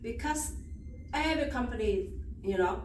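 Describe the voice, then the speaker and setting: Woman, Vietnamese accent, halting non-native English, interview answers, far from the mic, noisy background. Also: on the high side, animated. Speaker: job candidate being interviewed. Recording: roomy echo, distant mic, loud background noise.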